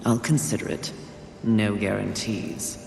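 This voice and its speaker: A woman's voice: very deep voice, steady tone, measured pace, gravelly, full character voice. Proud angry warrior woman, video game cutscene, short clipped lines, big echo. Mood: proud, impatient. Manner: curt, haughty. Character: proud, impatient female apocalyptic warrior, scornful and hot-tempered. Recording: echoey reverberant hall with music.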